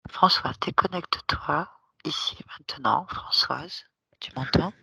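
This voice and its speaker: A woman hypnotherapist guiding a session, asking short patient questions softly, often in a whisper. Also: low-pitched, a little slow, vocal fry, husky, no echo, low-quality recording.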